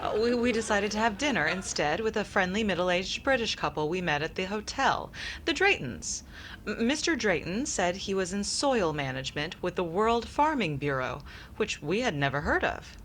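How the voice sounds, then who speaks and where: A woman playing a wry, animated, sensible wife. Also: mid-range voice, normal speed. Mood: polite, suspicious. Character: wry, sensible, suspicious doctor's wife and mother. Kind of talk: old-time radio comedy acting. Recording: very noisy recording.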